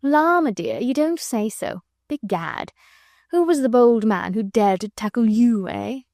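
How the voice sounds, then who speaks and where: A woman reading aloud, voicing a lazy, foppish English baronet who drawls his words slowly. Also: medium pitch, sing-song, no echo, clean studio sound. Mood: languid.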